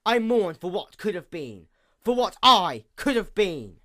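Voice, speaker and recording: A performer acting out a bitter pony goddess of the night, female and vengeful. The delivery of this villain monologue is grandiose and formal, with a mid-pitched voice that sweeps widely between fierce outbursts and hushed menace. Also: sing-song, measured pace. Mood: bitter, indignant. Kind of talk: dramatic reading, theatrical villain monologue.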